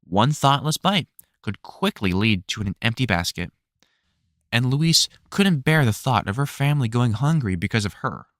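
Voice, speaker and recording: Male narrator for illustrated story reading; lively, swinging intonation, measured delivery, dry recording. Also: mid-range voice, dry recording.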